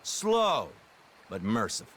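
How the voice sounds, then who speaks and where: A sharp, forceful male detective speaks with pompous formality and menace. His pitch rises and falls widely as he leans on chosen words, a self-righteous villain from a Western game. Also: wildly swooping pitch, slight background noise, heavily acted. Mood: cold menace. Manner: formal, pompous, deliberate emphasis. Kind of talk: cinematic Western video game acting.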